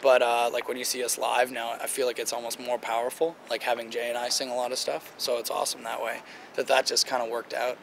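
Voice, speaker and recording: Late-20s male singer, gravelly and a bit hoarse, laid-back interview talk, outdoor take with faint traffic. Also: medium pitch, fast talker. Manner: relaxed, chatty, many "like" fillers. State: slightly rough, strained voice. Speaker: band singer. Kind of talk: casual interview answers. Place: outdoor table by a river. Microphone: handheld interviewer mic near the table.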